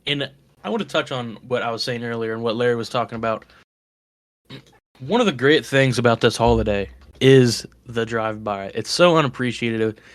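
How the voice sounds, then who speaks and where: A man's voice: medium pitch, a bit bright, no room sound. Male co-host, chatty and rambling, dry studio sound. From West Virginia. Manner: rambling, enthusiastic food talk with restarts. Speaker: podcast co-host. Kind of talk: casual chat.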